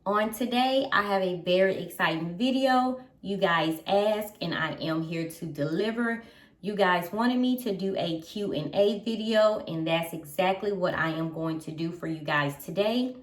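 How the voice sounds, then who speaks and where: A woman in her thirties chatting casually to her viewers as a vlogger, repeating her points, checking in with "okay?" and filling pauses with "um". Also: mid-range voice, echoey room, distant mic. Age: 30s. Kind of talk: casual chat to camera.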